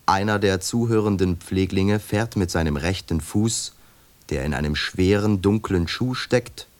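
A man reading the stage directions of a radio play in a measured, formal way. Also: low-pitched. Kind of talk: scripted radio drama narration.

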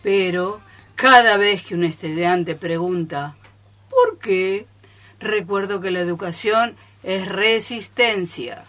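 A woman's voice: medium pitch, takes it slowly, fairly bright tone. A woman playing a reflective, determined teacher in an amateur radio play. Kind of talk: amateur radio theatre acting.

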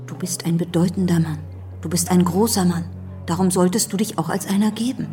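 Actress as low-voiced, reproachful, possessive sister. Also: female voice, a little fast, mellow, distant mic, faint music behind, lightly acted. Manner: insistent, reproving. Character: stern, possessive, reproachful sister of a revolutionary leader. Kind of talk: radio play dialogue acting.

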